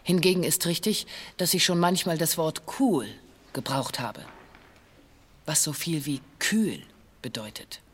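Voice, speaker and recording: Ein Schauspieler spielt in einem Hörspiel einen steifen, sich verteidigenden Verdächtigen, der förmlich und gestelzt im Verhör aussagt. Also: male voice, fairly high pitch, a little slow, slightly rough. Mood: defensive denial. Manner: formal, stilted wording. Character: male criminal suspect under interrogation, stiff, defensive. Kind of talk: radio play, recited statement of interrogation record.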